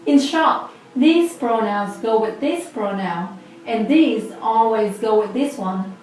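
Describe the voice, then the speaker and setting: A woman's voice: fairly high pitch, lively intonation, slow pace, darkish tone, very echoey, distant mic, poor audio. A woman in her twenties with a Vietnamese accent, patiently explaining a language lesson step by step. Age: 20s. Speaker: language teacher.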